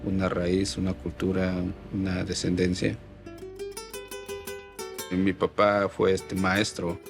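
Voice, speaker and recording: Low gravelly man, music behind. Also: completely flat delivery, slow. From Oaxaca, Mexico. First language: Mixe. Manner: reflective, with frequent "este" fillers. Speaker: artist. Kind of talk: interview answers.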